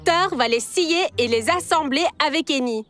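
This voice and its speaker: A woman's voice: high voice, expressive, moderate pace, a bit bright. A woman voicing a clever, eager young boy who leads his friends and confidently explains plans in a dubbed cartoon. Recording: cartoon music score behind.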